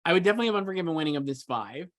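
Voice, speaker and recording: A man's voice: a little high, expressive, very fast, fairly bright tone. A man co-hosting a casual film discussion, talking emphatically and loosely with lots of repeated filler words. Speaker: co-host, film awards editor. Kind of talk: casual chat, film commentary.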